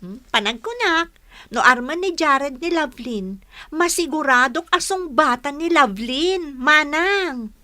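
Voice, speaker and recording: A woman's voice: sits high, animated, measured pace, pushed and bright, full character voice. A woman playing a curious, gossipy young friend in a radio drama, with an Ilocano accent. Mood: confiding.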